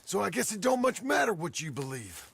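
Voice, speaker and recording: An actor playing a guarded, plain-spoken frontier ranch hand and father in a Western game, with a gravelly voice. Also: high-pitched, big pitch swings, fairly quick, a bit bright, a little hiss or hum, full character voice. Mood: guarded, firm. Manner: terse, folksy, plain-spoken. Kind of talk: naturalistic Western game acting.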